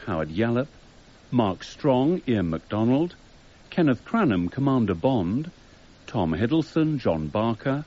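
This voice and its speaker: A man reading drama credits as a radio announcer, in a formal, measured way. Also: mid-range voice, mellow, slightly rough. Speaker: continuity announcer. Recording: slightly muffled radio broadcast.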